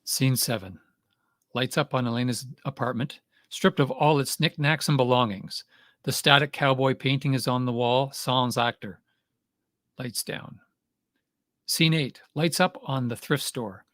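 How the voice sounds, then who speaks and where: Male narrator for stage directions, medium pitch, close dry sound, scripted delivery. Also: unhurried, a bit bright, no echo.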